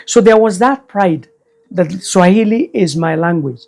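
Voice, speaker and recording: An older man, a guest elder recalling the past in slow, measured speech. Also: high voice, animated, a little mellow. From Kenya. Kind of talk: reflective storytelling interview.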